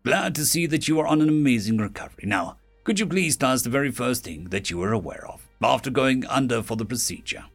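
A man's voice: mid-range voice, animated, fast, hardly any pauses, soft background music. A man reading a polite, formal human doctor.

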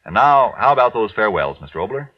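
A man's voice: fairly low pitch, very animated, quick pace, no echo. A man working as a radio announcer, reading ads and announcements in a 1940s style. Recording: dull, distant vintage radio recording.

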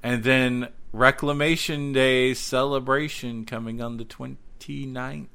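A man hosts a casual gaming podcast in a sharp, bright voice at an average pitch. His talk is slangy and excitable, and he doubles up words to stress them. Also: unhurried, gravelly, clear, resonant tone. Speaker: podcast host and game streamer.

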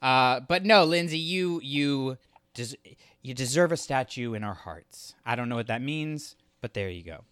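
A man's voice: mid-range voice, animated, a little slow, fairly bright tone, smooth, no echo, studio quality. A man co-hosting a podcast in casual, jokey, rambling chat. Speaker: podcast co-host.

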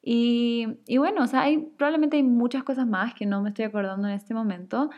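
A young woman with a soft Chilean accent that drifts toward neutral talks casually to her viewers. She rambles warmly through her thoughts, with plenty of fillers and quick self-corrections. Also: a little high, highs cut off. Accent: mild Chilean mixed with neutral. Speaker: vlogger answering viewer questions. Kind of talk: casual chat.

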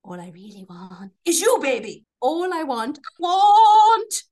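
A woman's voice: high-pitched, very animated, slow, a little mellow. A woman doing playful, theatrical dramatic readings with an exaggerated, melodramatic delivery as a podcast co-host.

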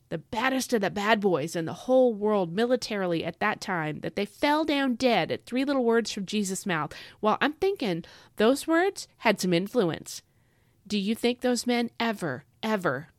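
A woman's voice: mid-range voice, no room sound, studio quality. A woman with a stuffy head cold hosting a devotional podcast, earnest and emphatic, repeating phrases for stress, chatty with fillers and asides. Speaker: podcast host.

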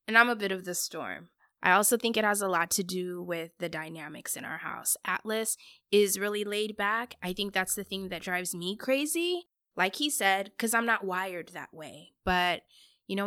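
A woman's voice: medium pitch, bright, forceful tone, smooth, no echo, clean studio sound. A middle-aged woman speaking candidly and chattily, answering questions in a casual interview. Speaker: guest, parent.

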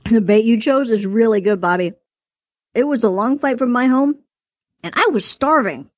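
A woman reading as a small, wise dragon who speaks in a light, airy voice that still commands. His tone is stern and measured with a faint teasing edge. The voice sits a little high and sounds dry and close. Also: no room sound, close to an everyday voice. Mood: calm. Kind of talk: audiobook character voice.

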